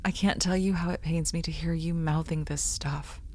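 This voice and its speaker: A woman's voice: low voice, steady tone, normal speed, darkish tone. Earnest young woman in love actress playing. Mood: longing. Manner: emotive, earnest. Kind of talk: old-time radio drama acting.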